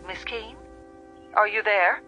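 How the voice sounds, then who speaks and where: An actress playing a polite, measured, businesslike telephone company representative in a radio drama, heard over the phone. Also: female voice, mid-range voice, fairly even intonation, dull audio, over music. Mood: concerned. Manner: professional, reassuring, measured.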